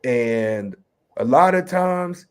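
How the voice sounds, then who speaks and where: A man from Chicago's West Side with a local accent and a slight New York tinge, a rapper and educator speaking in a relaxed, streetwise way as an interview guest. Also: medium pitch, drawn-out words, smooth. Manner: relaxed, streetwise, lots of "you know what I mean". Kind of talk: casual interview talk.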